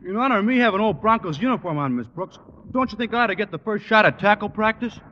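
An actor playing a dopey, earnest high school athlete in old radio comedy style. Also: male voice, expressive, fast talker. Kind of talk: 1940s-50s radio comedy acting. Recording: noisy, dull old radio recording.

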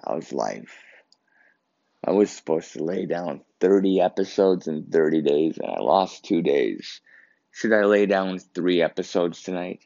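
A man's voice: low voice, vocal fry, no room sound, dull audio. A young Canadian man who wears braces, hosting his own podcast and rambling through a casual story. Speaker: podcast host. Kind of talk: casual solo podcast monologue.

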